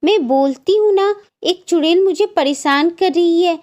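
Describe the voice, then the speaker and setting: An anxious young bride from a cartoon, voiced by a woman. Her voice is extremely high and girlish in pitch. She comes across as haunted and insistent. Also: a little slow, a little mellow. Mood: frightened, insistent. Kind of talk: Hindi cartoon story acting.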